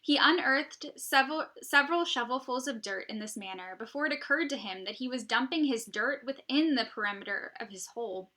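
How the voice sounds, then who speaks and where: Woman, very bright voice, slightly high pitch, expressive storybook read-aloud, deliberate emphatic phrasing. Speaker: teacher reading a story aloud.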